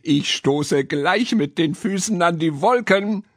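Man as small girl, storybook character, playful, eager, raised pitch, very animated, dry recording. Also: measured pace, breathy edge, dry recording, exaggerated voice. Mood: cheerful. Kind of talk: children's storybook reading.

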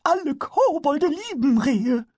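A man voicing a small, mischievous kobold in a storybook reading, excitable and childlike. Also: very high-pitched, takes it slowly, pitched above the performer's own voice, full character voice. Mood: delighted. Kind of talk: storybook character voice.

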